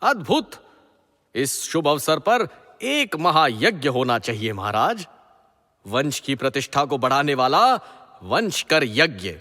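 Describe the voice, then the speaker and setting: A man playing a deferential, excitable royal adviser in a mythological audio drama, with a formal and theatrical delivery. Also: a little high, slow pace, heavily acted. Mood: delighted, eager. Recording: long hall reverb.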